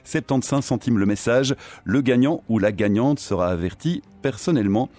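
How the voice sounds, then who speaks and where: A man with a Belgian French accent hosting a radio interview, asking measured questions with pauses. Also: medium pitch, dark tone. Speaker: radio host.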